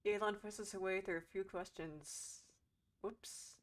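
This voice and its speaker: A woman's voice: mid-range voice, steady tone, takes it slowly. A woman doing playful, sarcastic commentary on a game playthrough, sometimes reading text aloud. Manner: playful, sarcastic, foul-mouthed. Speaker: game streamer.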